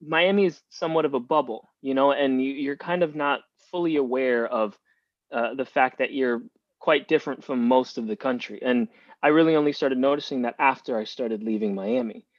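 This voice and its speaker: A man's voice: medium pitch, moderate pace, no room sound. Cuban-born man rambling on a muffled line. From Cuba. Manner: rambling, many restarts and fillers. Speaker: podcast guest. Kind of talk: casual interview talk.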